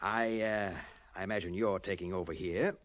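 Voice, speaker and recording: A man's voice: deep voice, fairly even intonation, normal speed, highs cut off, close to an everyday voice. An actor playing an ingratiating underworld henchman in a 1940s radio crime drama, hesitant and insinuating. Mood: ingratiating, nervous. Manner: hesitant, insinuating, sly chuckles. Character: oily, ingratiating male underworld henchman seeking favour.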